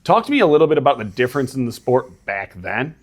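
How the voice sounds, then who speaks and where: A man's voice: mid-range voice, very animated, fast talker. A young man hosting a casual sports interview, friendly and joking with his guest. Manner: friendly, jokey, banters with guest. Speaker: interview host.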